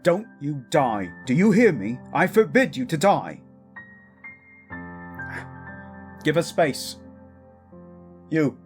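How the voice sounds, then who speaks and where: A man's voice: a little high, very animated, measured pace, soft background music. A man playing a protective exiled prince standing in as king, firm, formal and weary, in a fantasy audio drama. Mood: urgent, commanding. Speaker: voice actor.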